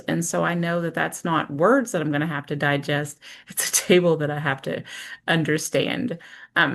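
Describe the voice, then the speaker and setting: A woman teacher giving an informal screen-share walkthrough, thinking aloud step by step in a conversational way. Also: low-pitched. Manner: conversational, explains step by step with self-questions and check-ins.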